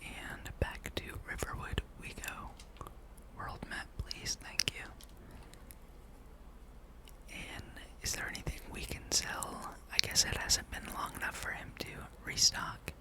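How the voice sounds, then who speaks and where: Whispering ASMR gamer, gently rambling. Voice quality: breathy, unvoiced whisper. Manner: gentle, rambling, musing aloud. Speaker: gamer and video host.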